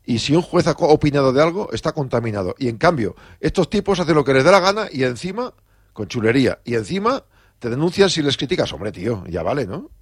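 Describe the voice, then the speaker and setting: Heated male presenter, husky and rapid, dry booth sound. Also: medium pitch, very animated, no echo. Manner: fast, indignant, emphatic. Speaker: radio presenter. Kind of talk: heated radio opinion.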